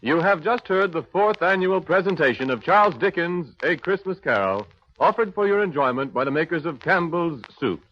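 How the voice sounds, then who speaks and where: A man speaks as a polished, formal 1930s radio announcer. His voice sits a little high. The vintage recording is dull. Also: lively intonation, normal speed, hardly any pauses.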